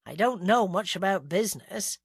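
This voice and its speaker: A woman voicing a calm, gently teasing male police detective with a soft Gloucestershire accent. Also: medium pitch, normal speed, slightly rough, dry recording, clean studio sound.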